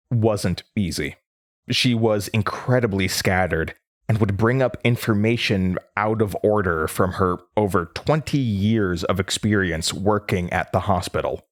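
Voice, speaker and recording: A man's voice: lowish voice, lightly acted. An actor playing a nervous, earnest male researcher, narrating horror audio drama in a hesitant, stammering, confiding way. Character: nervous, earnest male horror-radio researcher recounting his case notes.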